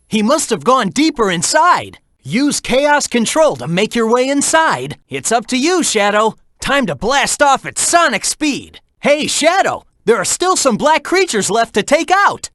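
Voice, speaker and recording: An actor voicing a cocky, upbeat teenage hedgehog hero in a video game, cheeky and encouraging. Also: male voice, extremely high pitch, unhurried, hardly any pauses, no room sound, studio quality, exaggerated voice. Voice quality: youthful, bright, energetic. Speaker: video game character voice clips. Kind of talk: game voice acting, mission hints. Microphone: dry studio mic.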